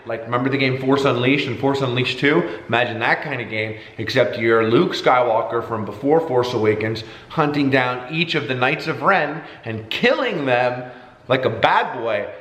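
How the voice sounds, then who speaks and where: A man records a casual vlog in a room with a clear echo. His pitch sits in the middle for a man, and he talks in a jokey, slangy way, swearing freely as he addresses his viewers. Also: fairly bright tone. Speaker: vlogger.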